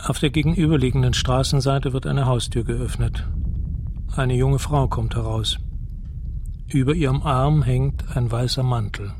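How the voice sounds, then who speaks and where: Measured male radio drama narrator. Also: medium pitch, fairly even intonation, a little mellow, a little hiss or hum. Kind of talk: scripted present-tense radio drama narration.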